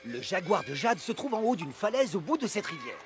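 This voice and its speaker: A man playing a friendly, excitable monkey jungle guide in a cartoon, eager and theatrical. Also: high voice, fairly quick, loud music behind the voice. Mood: cheerful. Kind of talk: cartoon voice acting, French dub.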